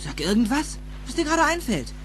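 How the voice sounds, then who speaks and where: A man's voice: very high voice, very animated, brisk, a bit bright, heavily acted. An actor playing an anxious, impatient teenage boy in a dubbed film drama, urging and sometimes stammering. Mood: coaching, exasperated. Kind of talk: dubbed film acting in a German audio drama. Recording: noisy with hum.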